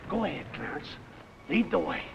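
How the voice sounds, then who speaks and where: Animated, gravelly American soldier. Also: male voice, fairly high pitch, normal speed, heavy background noise, exaggerated voice. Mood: brisk, wary. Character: excitable wisecracking American soldier at the front. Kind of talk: 1950s slapstick war comedy film acting. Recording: old 1950s film soundtrack, loud music.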